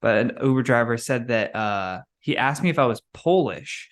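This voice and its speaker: A man's voice: medium pitch, animated. Chatty man riffing on a podcast. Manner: chatty, quick to laugh and riff. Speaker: podcast host. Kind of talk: casual chat.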